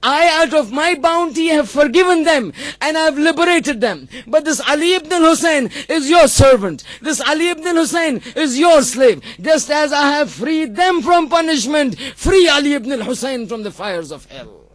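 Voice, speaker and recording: A man's voice: very high-pitched, expressive, normal speed, few pauses, fairly bright tone. A man preaching an impassioned religious sermon, retelling a sacred story dramatically, acting out dialogue and repeating phrases for emphasis.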